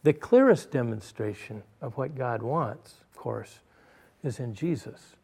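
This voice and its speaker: A man speaking as a guest in a religious discussion, deliberate and emphatic, repeating his key phrases. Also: medium pitch, lively intonation, creaky, a little mellow, slightly rough. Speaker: panel guest.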